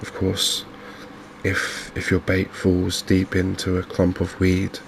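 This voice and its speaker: Softly spoken English male game host, flat and hushed delivery, gravelly fry, faint background noise. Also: deep voice. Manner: gentle, unhurried, hushed. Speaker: gaming video host. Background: fishing game ambience.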